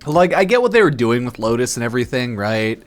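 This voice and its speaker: A man's voice: mid-range voice, sing-song, fairly quick. A slightly drunk man giving casual, rambling game commentary as a streamer, blunt, profane and opinionated. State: a bit drunk. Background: music under the voice.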